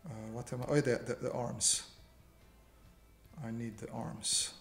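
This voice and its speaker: A man's voice: mid-range voice, level tone, a little slow, vocal fry, fairly bright tone, soft background music. Man streaming in an echoey room. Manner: informal, reads viewer questions aloud and answers. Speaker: livestream host, illustrator. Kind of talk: casual livestream chat while drawing. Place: small indoor home room. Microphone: desk microphone on improvised stand. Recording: roomy echo, faint background noise.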